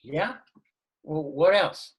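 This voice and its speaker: A man playing a mild, sensible but bewildered suburban dad in a sitcom table read, speaking in a hesitant, halting way. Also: on the high side, breathy edge.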